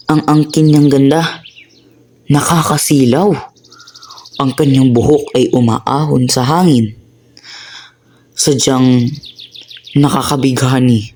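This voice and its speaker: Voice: medium pitch, darkish tone, slight background noise, close to an everyday voice. A student narrator voicing a polite, awed young nobleman in a radio play. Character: noble young man, admiring and courteous.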